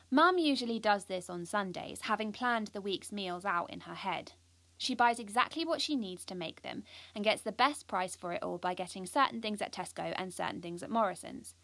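A woman's voice: mid-range voice, vocal fry, fairly bright tone, no echo. A woman playing an earnest, driven and anxious schoolgirl who narrates an audio drama in an intense, precise way. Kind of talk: first-person audio drama monologue.

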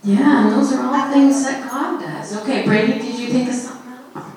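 A woman's voice: sits high, a little fast, a crowd in the background. A woman leading a children's message at church, talking simply and encouragingly to young children and asking them questions. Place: large echoey church room. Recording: echoey church, distant mic, audience noise.

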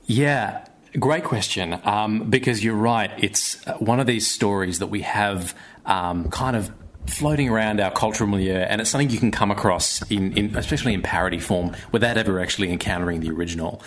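A man explaining things conversationally as an interview guest, thoughtful and often pausing mid-phrase. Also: fairly low pitch, slight background noise. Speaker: podcast guest, theatre maker.

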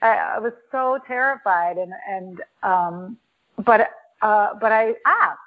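A woman guest on a podcast telling a personal story in a reflective, halting way, with many restarts. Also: medium pitch. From New York. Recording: tinny phone-line audio.

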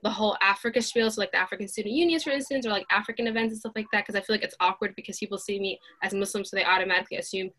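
A young woman from Somalia co-hosting a casual podcast chat, animated and quick. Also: mid-range voice. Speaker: podcast co-host.

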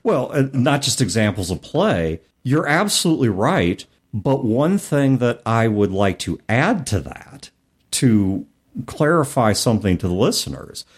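Animated man chatting on a podcast. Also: medium pitch, slightly rough. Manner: animated, expressive, explanatory. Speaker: podcast co-host. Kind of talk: casual chat about tabletop gaming, reading passages aloud.

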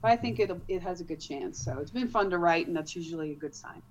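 A woman from the Netherlands with a light Dutch accent, an author chatting in an interview, animated and quick to laugh. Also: lowish voice, dull audio. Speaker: author, guest. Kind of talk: casual interview.

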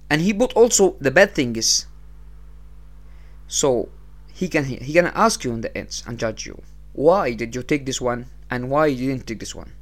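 Egyptian male podcast host, English, unhurried motivational talk, budget mic. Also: medium pitch. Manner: unhurried, repeats phrases, non-native English. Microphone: small low-cost podcast setup.

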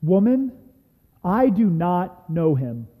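A man reading a defensive disciple, emphatic and deliberate, in a church scripture drama. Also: sits high, big pitch swings, breathy edge, heavily acted. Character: defensive male disciple denying his master. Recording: dull, reverberant church sound.

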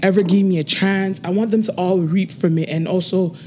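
Young female guest in her twenties, casual chat, earnest, laughs a lot, faint background rumble. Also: steady tone, darkish tone. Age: 20s. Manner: laughs often, slow and earnest when talking goals. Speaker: interview guest.

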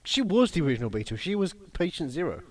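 A man's voice: on the high side, big pitch swings, fast. A man co-hosting a comedy podcast in casual improvised chat, bantering and slipping into silly voices. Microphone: podcast microphone with headphones.

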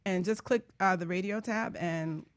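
A woman's voice: lowish voice, normal speed. Playful woman hosting a radio show. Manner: playful, teasing, laughs often. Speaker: radio show host and life coach. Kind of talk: lively co-hosted radio banter.

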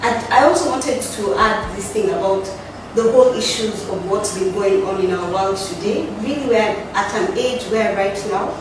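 A woman's voice: mid-range voice, moderate pace, noisy background. Reflective woman, echoey distant room. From South Sudan. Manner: thoughtful, says "you know" often. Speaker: women's ministry team member. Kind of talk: reflective church discussion.

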